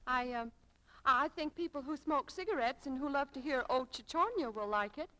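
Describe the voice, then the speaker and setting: Casting: hesitant shop assistant, high-pitched, sharp bright tone, 1940s radio play. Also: female voice, animated, moderate pace. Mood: tactful.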